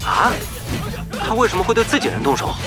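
Casting: excitable young male gamer, high-pitched and lively, easily exasperated, radio drama with music underneath. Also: brisk. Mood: amazed. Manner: exclamatory, animated. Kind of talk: Chinese radio drama acting.

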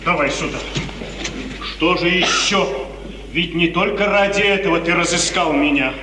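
Jumpy shady servant, old radio play, high voice, echoey. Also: male voice, measured pace, pushed and bright, far from the mic, a little hiss or hum. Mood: frightened. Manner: agitated, theatrical. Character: nervous, venal manservant, reluctant accomplice. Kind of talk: 1950s Russian radio theatre acting.

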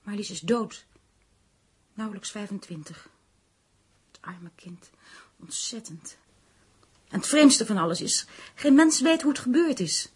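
Husky, dramatic concerned gossip. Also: female voice, mid-range voice, lively intonation, a little slow, long pauses. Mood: shocked, grave. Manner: dramatic, lingering over grim news. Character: concerned, gossipy woman confiding in her friend. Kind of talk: radio play acting.

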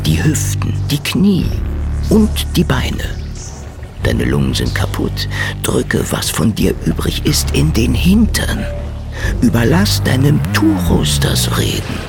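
A battered sardonic private eye narrating a radio play, gravel voice, swings up and down a lot, loud music. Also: male voice, low voice, full character voice. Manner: brooding, sardonic first-person narration. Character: hard-boiled male Soviet private detective, wry, battered, stubborn. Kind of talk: noir radio drama narration.

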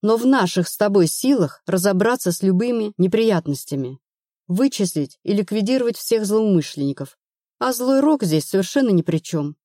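A woman narrator playing a brisk, rational female investigator in an audiobook, blunt and assured. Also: medium pitch, expressive, warm tone, dry recording, studio quality, close to an everyday voice. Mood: reassuring. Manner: matter-of-fact, assured.

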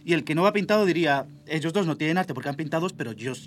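Spanish co-host, rapid-fire and eager, keeps asking if you follow; faint hiss. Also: male voice, a little high, expressive. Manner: eager, checks in with "¿sabes?". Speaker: podcast co-host. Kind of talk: casual debate.

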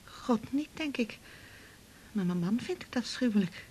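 A woman's voice: a little high, lively intonation, unhurried, darkish tone, slight background noise. An actress playing a shy, confiding married woman patient in a radio drama, speaking hesitantly. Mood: shy, relieved.

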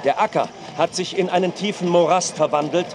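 An actor playing an urgent battlefield reporter in a radio play, giving live commentary. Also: male voice, high voice, a little fast. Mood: urgent, grave. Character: urgent male battlefield reporter of a medieval slaughter. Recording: loud battle crowd and noise behind. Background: noisy outdoor crowd.

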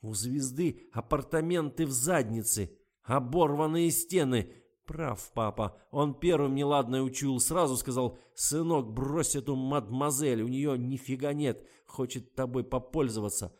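A man voicing a furious, contemptuous young lover who shouts and sneers, in an audiobook reading. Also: medium pitch, normal speed, hardly any pauses, pitched above the performer's own voice, close to an everyday voice.

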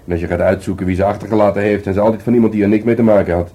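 An actor playing a grumbling but playful police detective in a radio play, speaking informally with a Netherlands Dutch accent. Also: male voice, on the low side, fairly even intonation, rapid-fire, warm tone, breathy edge. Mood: relaxed, chatty. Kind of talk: naturalistic radio play dialogue. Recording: faintly noisy radio play recording.

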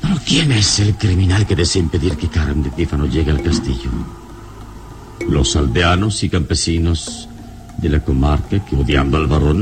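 An actor playing a heroic, resolute adventurer in a radio serial, speaking in a solemn, theatrical, deliberate way. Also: male voice, very deep voice. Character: heroic male adventurer, calm, noble, resolute investigator. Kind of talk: Spanish-language radio serial acting.

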